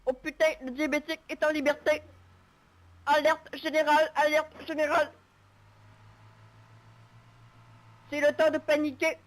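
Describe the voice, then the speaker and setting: A woman's voice: sits high, little pitch movement, a little fast, close to an everyday voice. A woman playing a deluded, earnest medic in a game roleplay, speaking in formal declarations. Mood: mock alarm. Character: deluded, earnest medic crusading against diabetes in vehicles. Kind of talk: improvised online game roleplay.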